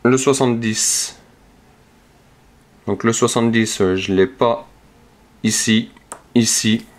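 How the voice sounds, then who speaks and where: A man speaks slowly and steadily, pitched in a middle register. He checks each number out loud in an unhurried, methodical way. Soft scratching sounds come from behind him. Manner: slow, methodical, talks through each number. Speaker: youtuber scratching lottery tickets. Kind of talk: casual narration while scratching cards.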